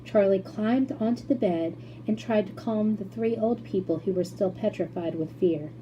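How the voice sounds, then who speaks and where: A woman reading a children's story aloud as narrator, in an expressive storytelling style. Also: medium pitch, normal speed, lo-fi sound. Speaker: school principal reading aloud. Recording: faint background noise and hum.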